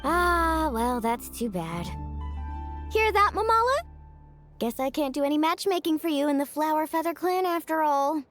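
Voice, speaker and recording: Casting: cheerful sporty girl for a video game, high bubbly voice, bouncy intonation, casual, music underneath. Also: expressive, moderate pace, fairly bright tone. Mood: chatty. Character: upbeat, friendly, easygoing young sporty island girl. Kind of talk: animated video game voice acting.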